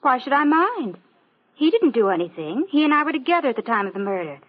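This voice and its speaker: A woman's voice: high voice, big pitch swings, fast talker. An actress playing a sassy, defiant young show-business woman with pert comebacks in an old-time radio mystery. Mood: defiant, loyal. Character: sassy, defiant young showbiz blonde under questioning. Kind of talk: old-time radio detective drama acting. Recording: muffled vintage radio recording.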